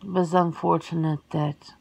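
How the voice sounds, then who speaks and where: A woman playing a wistful, reserved vampire sister in an ASMR roleplay, speaking slowly and formally in a hushed voice. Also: fairly low pitch, level tone, creaky, slightly rough. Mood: mournful, hushed. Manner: slow, hushed, formal, measured. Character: wistful, reserved, long-lived vampire sister, lonely wanderer.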